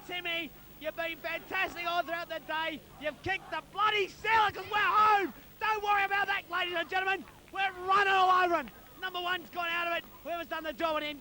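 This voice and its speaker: Male Australian footy co-commentator, piercing and high, voice strained from shouting, urges his team on in slangy, biased bursts. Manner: excited, urging players on, colloquial. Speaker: amateur football co-commentator. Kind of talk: partisan live sports commentary.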